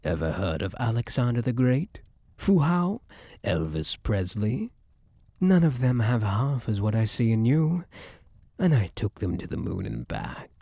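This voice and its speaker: A man's voice: mid-range voice, dark tone, husky, no room sound, clean studio sound, full character voice. A man playing Luck itself as a possessive, smug, suavely menacing suitor in a theatrical ASMR roleplay, coaxing the listener with old-fashioned flowery endearments. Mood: seductive, coaxing.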